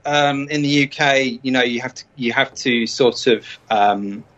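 A man being interviewed as an artist, chatting casually with a halting delivery. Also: mid-range voice, a bit bright. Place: remote call from the UK. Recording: dull, muffled remote call.

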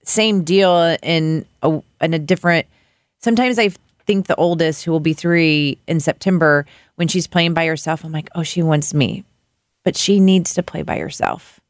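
Low-voiced woman chatting casually. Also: moderate pace, no room sound, studio quality. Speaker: podcast host, parent of young children. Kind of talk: casual empathetic conversation.

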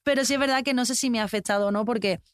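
A woman's voice: a little high, very fast, dry recording, clean studio sound. A woman singer with an Andalusian accent, chatting animatedly as an interview guest and laughing often. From Andalusia, Spain. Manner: animated, laughs often, acts out quoted voices. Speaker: musician guest. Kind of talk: casual interview chat.

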